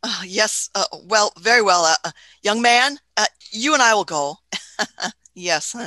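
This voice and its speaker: Radio play: actress as an elderly, eccentric woman time traveler. Bright, hesitant, self-satisfied, affectionate, fussy. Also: medium pitch, expressive, unhurried, dry recording. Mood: flustered. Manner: hesitant, muttering, chuckling old-fashioned speech.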